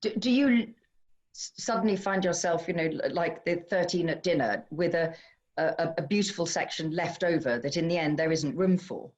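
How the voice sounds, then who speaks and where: A woman's voice: on the low side, moderate pace, darkish tone. A British woman hosting a live online literary conversation, effusive and welcoming as she chairs it over a video call. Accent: British English. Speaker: event host chairing author discussion.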